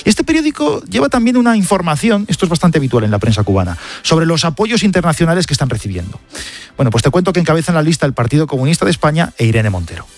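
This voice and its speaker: A Spanish man reviews the newspapers as a radio journalist. His voice sits a little high and moves in animated swings, mixing scripted reading with conversational asides. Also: quick pace. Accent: Spanish, from Spain.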